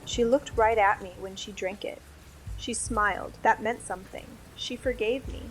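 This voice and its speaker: A woman's voice: mid-range voice, normal speed, a little hiss or hum, lightly acted, poor audio. Woman acting an obsessed, eerily devoted young killer. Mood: eerie devotion. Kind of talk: audio drama dialogue.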